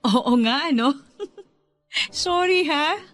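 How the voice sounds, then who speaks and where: A fond, encouraging woman school head in a radio drama. Her voice swings in an animated, effusive way with a teacherly touch, over clearly audible music. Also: sits high, extremely slow, dry recording, full character voice. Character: warm, nostalgic woman school owner, encouraging older colleague. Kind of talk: radio drama dialogue in Taglish.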